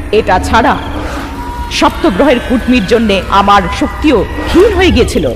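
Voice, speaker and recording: A woman's voice: on the high side, lightly acted. A woman playing a distressed young sorceress, narrating her ordeal in the first person in an earnest, dramatic cartoon style. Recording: long reverb under loud music.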